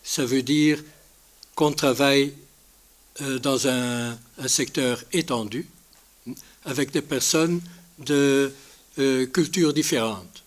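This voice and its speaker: A male conference speaker with a Dutch accent, speaking as a non-native. His pitch sits in the middle range and his delivery is slow and halting, as he searches for words while presenting. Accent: Dutch-speaking, non-native French. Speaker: conference speaker from a healthcare organisation.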